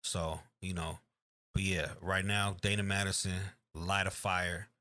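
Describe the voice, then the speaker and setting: A man's voice: sits low, fairly even intonation, a little slow, bright, forceful tone, no room sound, studio quality. A man, a guest rapper, talking casually and emphatically, repeating his call to buy music. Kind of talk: casual chat.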